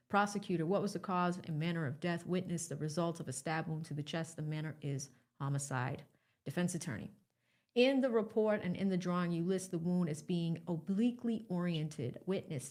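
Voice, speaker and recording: A woman's voice: lowish voice, darkish tone. A woman reporting testimony by reading a trial recap aloud in a factual, clipped question-and-answer delivery.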